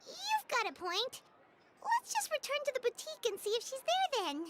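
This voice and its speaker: A woman voicing a tiny, cheerful childlike female video game companion with an eager, squeaky manner. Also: very animated, normal speed, very noisy. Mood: chirpy, eager.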